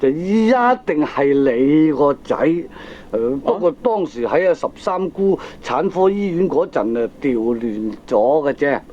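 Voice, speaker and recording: An excitable, stubborn Hong Kong Cantonese father talks emphatically in an old comic radio play. His high voice swings up and down, on a dull lo-fi recording. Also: a little slow, hardly any pauses, slight background noise. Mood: tipsy, earnest. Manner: emphatic, chatty, exaggerated delivery. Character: excitable middle-aged father, stubbornly convinced, earnest and talkative. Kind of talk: 1980s Cantonese comic radio drama acting.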